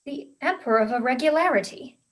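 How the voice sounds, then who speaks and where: A woman's voice: high voice, lively intonation, quick pace. A young woman acting a precocious sixteen-year-old aristocratic girl in a staged reading, emphatic and self-assured. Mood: eager, curious. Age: young adult. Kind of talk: staged reading of a period comedy.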